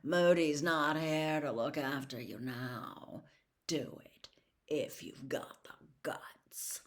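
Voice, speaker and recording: A woman's voice: sits low, slow pace. A woman voicing a spiteful schoolboy bully in a storybook reading, with a taunting, menacing manner. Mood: quiet taunting.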